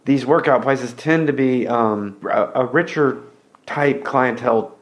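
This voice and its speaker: A man, hosting a laid-back podcast conversation. He talks with animated energy and laughs often, at a middle pitch. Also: unhurried. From Tennessee, US. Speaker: podcast host. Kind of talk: casual chat.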